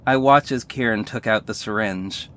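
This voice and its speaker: A man's voice: lowish voice, normal speed, a bit bright, a little hiss or hum, close to an everyday voice. Earnest young male hero narrating, played by a man. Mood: tense. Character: earnest, emotional young male superhero narrating his adventures. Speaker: narrator and lead actor in an audio drama. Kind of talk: dramatic first-person scripted narration.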